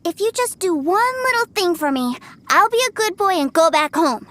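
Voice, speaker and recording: A woman voicing an eager, stubborn little boy in a video game, an adoring younger brother with an excitable, wheedling way of talking. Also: extremely high pitch, moderate pace, exaggerated voice. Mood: pleading, eager. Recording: music playing behind the voice.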